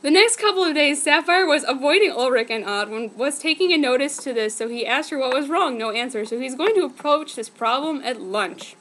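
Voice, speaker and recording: A woman's voice: high-pitched, lively intonation, fairly quick, hardly any pauses, a bit bright. A woman giving a dramatic, mock-earnest reading of fan fiction as a narrator. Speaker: reader.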